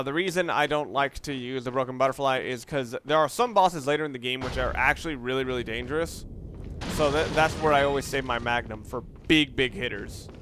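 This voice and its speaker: A man's voice: medium pitch, fairly bright tone, slight background noise. A man giving casual, rambling video game commentary, talking through his reasoning aloud as he plays. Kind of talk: casual let's play commentary.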